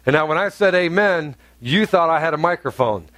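A man's voice: animated, a little fast, fairly bright tone, no echo. A man from North Carolina preaching a sermon in a church, blunt and humorous, with chatty asides to the congregation. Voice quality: deep, carrying voice. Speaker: pastor. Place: church sanctuary.

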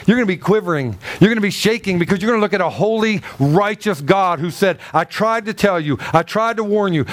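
Emphatic high-voiced male preacher. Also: no room sound. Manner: emphatic, animated, acts out quoted people; loud, carrying voice. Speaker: pastor. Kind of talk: sermon with storytelling.

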